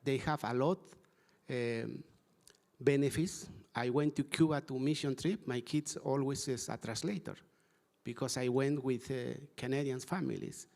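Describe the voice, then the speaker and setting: Man, English, gravelly voice, middle pitch, halting earnest panel talk. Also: fairly even intonation, darkish tone. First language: Spanish. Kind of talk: panel discussion. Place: conference hall.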